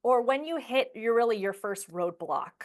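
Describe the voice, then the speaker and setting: A woman's voice: a little high, animated, moderate pace. A woman hosting a casual podcast interview in a chatty, affirming way, slipping into imagined quotes as she talks. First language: English. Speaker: podcast host.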